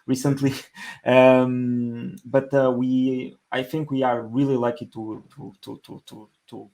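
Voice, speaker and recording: A man from Portugal with a Portuguese accent, giving conversational interview answers as a podcast guest, halting and repeating words as he goes. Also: medium pitch, little pitch movement, creaky, darkish tone. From Portugal, Lisbon area. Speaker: podcast guest, engineering manager.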